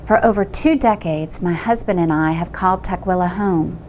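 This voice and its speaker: A woman's voice: lowish voice, normal speed, a little mellow, a little hiss or hum. A woman running for city council, giving a firm and urgent campaign statement.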